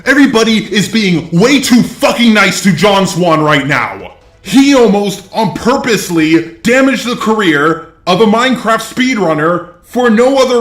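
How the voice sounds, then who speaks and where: A man's voice: high voice, a bit bright. Blunt ranting man in a roomy space. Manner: blunt, profane, indignant. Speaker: commentary YouTuber, host. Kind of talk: opinionated drama commentary, ranting.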